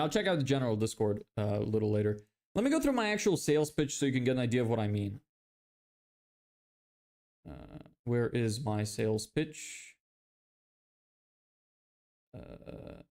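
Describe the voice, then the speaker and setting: A man in his twenties from Lithuania, with a Lithuanian accent, hosting a casual live stream. He reads viewer questions aloud and explains sales ideas in a relaxed way, with lots of fillers and restarts. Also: medium pitch. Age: 20s.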